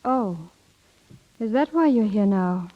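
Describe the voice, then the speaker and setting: An actress playing an independent, wistful young wife, tender but firm and hesitant, in a muffled 1930s radio drama. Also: female voice, mid-range voice, animated. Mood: hesitant, polite. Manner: hesitant pauses, earnest.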